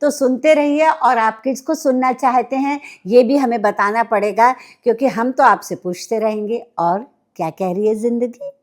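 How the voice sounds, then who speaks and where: A woman's voice: a little high, normal speed, few pauses. A woman with an Indian accent hosts an interview podcast conversationally, speaking to her guest and to listeners. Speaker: podcast host.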